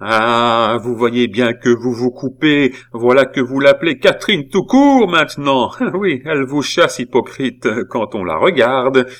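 A man's voice: medium pitch, expressive, unhurried, few pauses, fairly bright tone, voice pushed up. A man voicing a stern, suspicious old spinster aunt in a storybook reading, accusing and sententious. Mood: indignant, scornful. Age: older. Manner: accusing, sarcastic, indignant exclamations.

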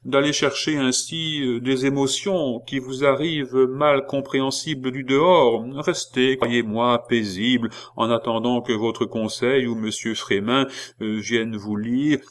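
A man's voice: mid-range voice, fairly even intonation, a little slow, voice pushed up, close to an everyday voice. A man voicing a kindly, hesitant concierge in an audiobook, hemming as he soothes. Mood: hesitant soothing.

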